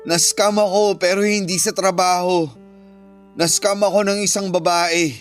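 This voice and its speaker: A man's voice: sits high, level tone, measured pace. A man with a Filipino accent playing a defensive, evasive boyfriend in a radio drama. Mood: guilty, confessing. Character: defensive, evasive boyfriend caught stealing savings. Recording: music under the voice. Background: background music.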